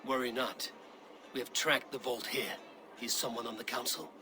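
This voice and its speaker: A man's voice: mid-range voice, little pitch movement, normal speed, natural voice. Stern Viking warrior played by a man. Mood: urgent, commanding. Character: stern, commanding Viking warrior investigating a murder plot. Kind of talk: cinematic video game acting.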